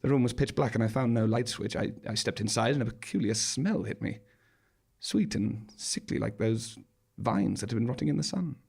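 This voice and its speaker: Creaky-voiced man telling a dramatic story. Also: medium pitch, lively intonation, normal speed, a little mellow. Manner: arch, droll, dramatic delivery. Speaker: monologue performer. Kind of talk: theatrical scripted first-person storytelling. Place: small theatre stage, live performance.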